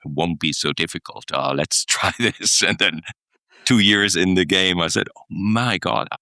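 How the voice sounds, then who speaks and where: A very deep, gravelly-voiced middle-aged man speaks as a podcast guest. His tone is bright and forceful, and the recording is close and dry. He tells stories in a reflective way, restarts often, and chuckles between thoughts. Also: a little slow, no room sound. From Germany. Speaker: guest, executive coach. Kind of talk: podcast interview, reflective storytelling.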